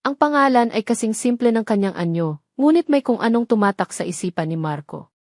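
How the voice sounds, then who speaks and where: A woman's voice: a little high, expressive, a little fast, a little mellow, no room sound, studio quality. A woman narrating a scripted romance story as a storyteller.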